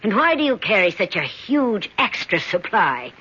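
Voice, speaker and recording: Cold alien being, measured, bright, breathy, dull radio sound. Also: male voice, sits high, sing-song, full character voice. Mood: coldly superior. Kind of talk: 1970s radio drama acting. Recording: dull old radio broadcast.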